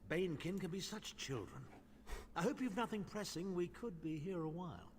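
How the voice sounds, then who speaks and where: An actor playing a haughty older imperial noble mage who talks down to others with dry sarcasm, in game dialogue. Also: male voice, sits high, very animated, moderate pace, big-room sound. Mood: condescending. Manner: condescending, dryly sardonic.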